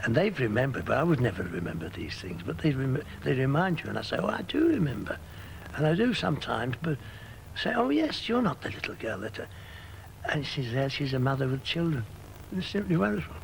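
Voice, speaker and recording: A man's voice: medium pitch, very animated, brisk, creaky, a little hiss or hum, poor audio. An older man reminiscing fondly in an interview about his stage work, often adding "you know". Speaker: pantomime performer interviewed. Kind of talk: reflective interview.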